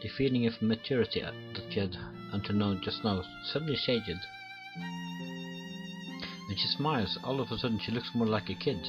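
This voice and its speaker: A man's voice: fairly low pitch, moderate pace, long pauses, lo-fi sound. A man reading visual novel text aloud as a let's play host, often stumbling over words and restarting. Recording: clear game music behind voice. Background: game music.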